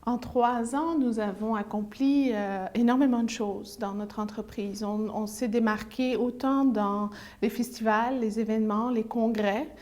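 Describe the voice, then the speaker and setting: A woman's voice: fairly high pitch, fairly even intonation, a little slow, hardly any pauses, darkish tone. A woman, answering interview questions hesitantly with many fillers and restarts. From Belgium. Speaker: business owner, interview guest.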